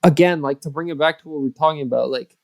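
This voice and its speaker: Emphatic fast-bursting man on a podcast. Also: on the high side, vocal fry, no room sound, studio quality. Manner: emphatic, speeds up in bursts, playful. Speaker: podcast co-host. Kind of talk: casual chat.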